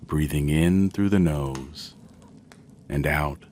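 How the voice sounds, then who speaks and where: Very deep-voiced man, slow sleep meditation. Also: slight background noise. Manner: gentle, soothing, measured with long pauses. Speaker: guided meditation narrator. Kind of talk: slow guided sleep meditation, scripted narration.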